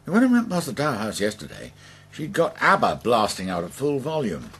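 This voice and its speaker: An actor playing a gossipy, amused village man in a radio soap, with a creaky voice. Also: mid-range voice, huge pitch swings, brisk, fairly bright tone, full character voice. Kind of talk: naturalistic radio soap acting.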